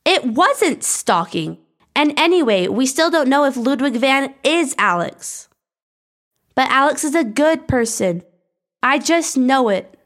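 A woman acts out a teenage schoolgirl from an audiobook story. She is high and bright in voice, with an earnest, insistent manner. She sounds loyal and a little anxious. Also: animated, unhurried. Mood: defensive, earnest. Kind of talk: audiobook character dialogue.

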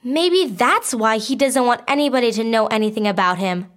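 A woman's voice: a little high, moderate pace, pitched above the performer's own voice, lightly acted. A woman voicing a wary, skeptical and nervous teenage girl in an audiobook story. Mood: skeptical, warning. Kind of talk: audiobook character dialogue.

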